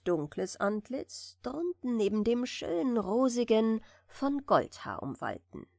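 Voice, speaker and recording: A woman narrating a fairy tale for bedtime, reading gently and soothingly, at times almost whispering. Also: on the high side, vocal fry, no room sound, clean studio sound. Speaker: audiobook narrator.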